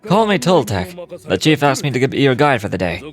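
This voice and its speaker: A man's voice: medium pitch, very animated, a little fast, natural voice. A man playing a direct, businesslike male guide, reading dialogue from a fantasy video game. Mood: brisk, businesslike.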